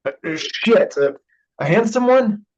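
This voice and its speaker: Dull recording, actor as slow-talking blunt young man. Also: high-pitched, lively intonation, darkish tone, heavily acted. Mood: nervous, joking. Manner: hesitant, blunt, swearing. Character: wounded, sardonic young man, younger brother and estranged son. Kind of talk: staged reading of a contemporary play.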